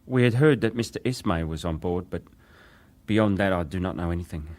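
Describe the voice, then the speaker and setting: Young British wireless operator, deep voice, formal, deferential, exact, dramatized testimony. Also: male voice, lively intonation, brisk, darkish tone. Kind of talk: dramatized reading of hearing testimony.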